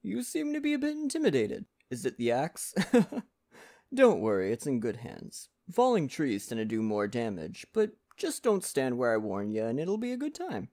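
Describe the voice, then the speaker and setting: A performer voicing a friendly, poetic male lumberjack, chatty and gently courteous, in an ASMR roleplay addressed to the listener. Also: medium pitch, huge pitch swings, moderate pace. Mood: friendly, reassuring.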